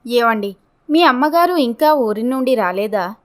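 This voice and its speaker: Woman playing a high, clear pleading young wife. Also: animated, a little slow. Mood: questioning. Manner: earnest, pleading. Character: pleading young village wife, meek but hurt.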